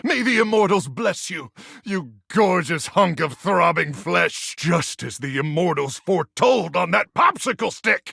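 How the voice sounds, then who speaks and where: An actor playing an earnest, unhinged muscle-bound post-apocalyptic warrior in a video game, gravelly and raspy, full of over-the-top military bravado. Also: male voice, high voice, pushed and bright, no room sound, studio quality, exaggerated voice. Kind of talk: video game character acting.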